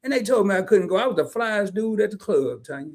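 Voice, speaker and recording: A man with a slightly raised voice talks loosely on a live stream, reading out viewer comments. He swings into animated stories and fast asides, keeping the tone casual and chatty. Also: high-pitched. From family from Arkansas and Chicago, lived in California. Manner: animated storyteller who drops into quick asides and reads comments in voices. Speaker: co-host of a live discussion stream.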